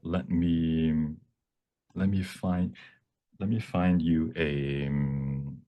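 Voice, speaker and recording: Male workshop presenter, low register, explanatory and halting while demonstrating software. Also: flat delivery, dull audio. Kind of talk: live software demonstration talk. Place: online presentation at a computer.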